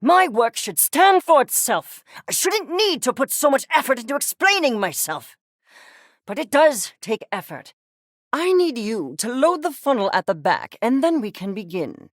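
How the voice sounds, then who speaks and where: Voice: sits high, sing-song, moderate pace, a bit bright, dry recording, studio quality. An actor, sounding female, voicing an arrogant, obsessed mad-scientist creature in a video game, with pompous, clinical, precise diction. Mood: frustrated, then impatient, focused. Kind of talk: theatrical game character acting.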